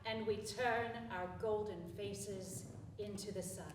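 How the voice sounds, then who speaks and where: A woman's voice: medium pitch, fairly even intonation, slow pace, slight background noise, natural voice. A woman acting a reverent chorus member of a devout commune in a stage play, solemn and incantatory. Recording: distant mic, very echoey theatre.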